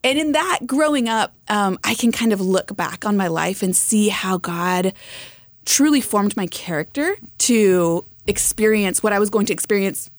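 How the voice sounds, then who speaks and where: A woman from Florida, a missionary guest, telling her life story in a relaxed studio chat. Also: mid-range voice, normal speed, no room sound. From United States, Florida. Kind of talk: casual interview chat.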